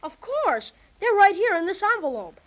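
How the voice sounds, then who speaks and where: A woman's voice: extremely high pitch, sing-song, moderate pace, a bit bright, heavily acted. A woman playing an eager, outspoken young child in a 1930s children's radio serial. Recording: dull 1930s radio recording.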